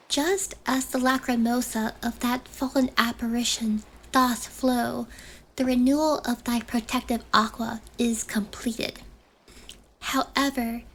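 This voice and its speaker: A woman narrates theatrical ASMR in a fairly high, soft voice. She takes her time, solemn and archaic in phrasing, slipping partly into whispers. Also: slight background noise, poor audio. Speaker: host and narrator.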